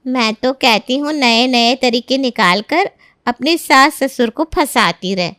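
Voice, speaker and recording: A sly young woman friend acted out by a woman in a moral story. Her voice is very high and dry, and she comes across as scheming, meddling and goading. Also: unhurried, bright, forceful tone, no echo.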